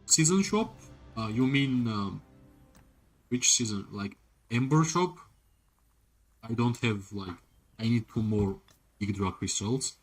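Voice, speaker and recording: A man's voice: fairly low pitch, animated, slow pace, pauses often, faint music behind. A man streaming a video game, giving rambling, casual commentary and talking directly to his chat, often filling with "like" and tagging sentences with "right?". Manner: rambling, leans on "like" and tag questions "right?"; talks to chat viewers directly. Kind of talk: casual live-stream commentary while playing.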